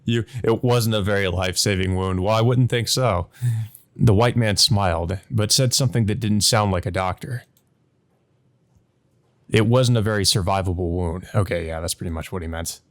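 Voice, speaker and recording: A man's voice: on the low side, moderate pace. A man narrating a visual novel as a let's play host, reading aloud and adding hesitant commentary of his own.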